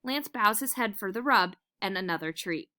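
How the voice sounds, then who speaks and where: A woman teacher reading a children's book aloud in a dramatic, expressive storytelling manner. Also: mid-range voice, moderate pace.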